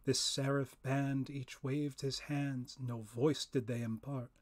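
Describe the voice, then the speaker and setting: A man giving a dramatic reading of a narrative poem, solemn, theatrical and deliberate. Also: medium pitch, steady tone. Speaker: poetry reader, professor.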